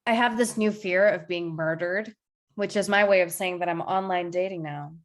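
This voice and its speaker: A woman's voice: lowish voice, normal speed, natural voice. A woman playing a self-deprecating young standup comic in a comedy table read. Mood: awkward.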